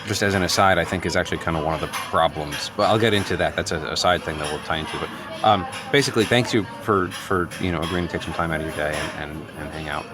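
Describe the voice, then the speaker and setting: Man playing deep-voiced, earnest neurotic guy. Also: music in the background, lightly acted. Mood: awkward, earnest. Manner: halting, hedging, over-explaining, stiffly earnest. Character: earnest, neurotic, self-absorbed man formally downgrading a friendship. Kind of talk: improvised radio comedy dialogue. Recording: clearly noisy background ambience.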